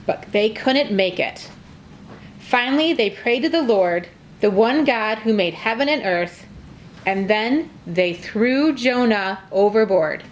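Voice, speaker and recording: A high-pitched woman narrates a children's story in simple, clearly phrased read-aloud style. The recording carries noticeable background noise and room ambience. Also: low-quality recording. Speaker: narrator of a children's church puppet show.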